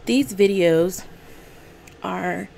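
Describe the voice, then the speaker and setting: Chatty, slightly raspy woman, slow talker. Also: mid-range voice, a little hiss or hum. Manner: chatty, apologetic, easily excited. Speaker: podcast host. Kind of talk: casual chat to camera. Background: coffee maker running.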